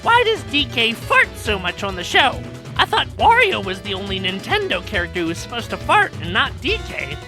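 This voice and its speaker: Cheeky male cartoon monkey, female actor, sassy talker, bright and very animated. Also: a little high, moderate pace, heavily acted. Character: cheeky thirty-year-old male cartoon monkey, chatty and opinionated. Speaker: co-host of a fan question show. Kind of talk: fan audio drama voice acting. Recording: dry voice over background music.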